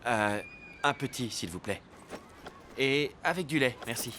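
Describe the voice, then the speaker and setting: A man's voice: medium pitch, lively intonation, a little slow, heavy background noise, close to an everyday voice. An actor playing a curt, preoccupied man in a cartoon dub, speaking in short clipped phrases. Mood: polite. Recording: loud music, slightly distant voice.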